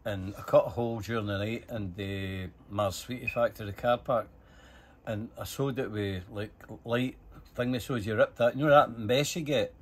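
A man's voice: on the low side, normal speed. An older man with a Scottish accent telling casual anecdotes, swearing freely and acting out the dialogue as he goes. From Scotland. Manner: animated, sweary, acts out dialogue. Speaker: storyteller.

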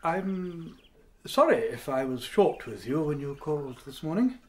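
Formal village dignitary, higher-pitched. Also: male voice, animated, a little slow, husky. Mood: stiff, proud. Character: proud, formal village dignitary, a troubled bereaved father. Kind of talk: BBC radio drama acting.

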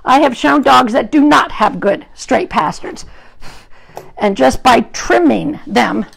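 Woman, gravelly, hoarse, husky, slightly high voice, chatty groomer, phone-recorded salon. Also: expressive, slow pace. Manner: chatty, talks to viewers while working on the dog. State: hoarse from an illness. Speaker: dog groomer and breeder. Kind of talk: live-streamed grooming demonstration. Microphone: phone camera propped at the grooming table.

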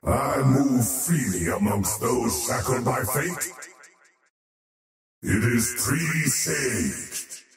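Slow, echoing faceless void god. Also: male voice, medium pitch, animated. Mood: solemn, haughty. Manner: ominous, deliberate, theatrical. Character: menacing faceless elder alien void god, haughty oathbreaker. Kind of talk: video game character voice lines. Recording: cavernous echo, distant, music underneath.

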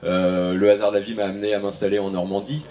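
Man, 30s, from western France, farmer, panel talk, hesitant, low voice, handheld mic, event tent. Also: flat delivery, fast, warm tone, slight background noise. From France (Maine-et-Loire). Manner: hesitant, many fillers and restarts. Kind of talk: panel discussion answers. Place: large tent at an outdoor event. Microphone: handheld microphone.

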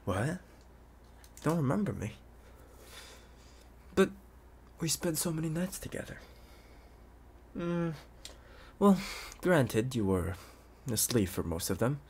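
A man playing an obsessive, playful young intruder in an ASMR roleplay, coaxing the listener like a doting owner, with hesitant pauses and hushed asides. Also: a little high, very animated, slightly rough, exaggerated voice. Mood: teasing, affectionate.

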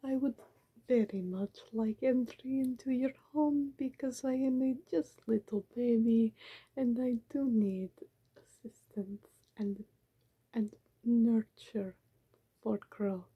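A woman's voice: fairly high pitch, fairly even intonation, phone-quality sound, exaggerated voice. A woman whispering as a whiny vampire "baby". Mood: whiny begging. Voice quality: breathy whisper. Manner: slow, whiny, begging. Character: vampire posing as a helpless little baby. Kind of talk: whispered ASMR roleplay.

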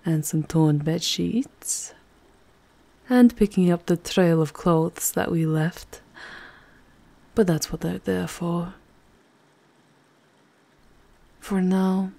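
A woman playing a seductive, aristocratic vampire countess in an intimate ASMR roleplay, with a breathy, husky voice that often drops to a whisper. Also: lowish voice, warm tone, exaggerated voice. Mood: languid, intimate. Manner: slow, sensual, unhurried murmuring.

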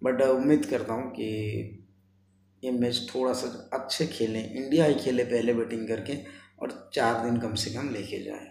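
Young man, gravelly creaky voice, casual sports vlog commentary, chatty, roomy echo. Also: medium pitch, steady tone, moderate pace, a little mellow. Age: 20s. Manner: colloquial, chatty, addresses viewers as brothers. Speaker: sports vlogger. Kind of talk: casual cricket commentary to camera. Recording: roomy echo, faint background noise.

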